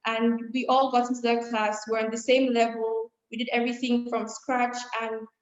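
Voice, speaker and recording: A teenage schoolgirl speaks in an even, fairly high voice with very little rise and fall. The sound comes through a laptop webcam on a video call and is roomy and slightly dull. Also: moderate pace. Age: teens. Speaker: student. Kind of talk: panel answer on a video call.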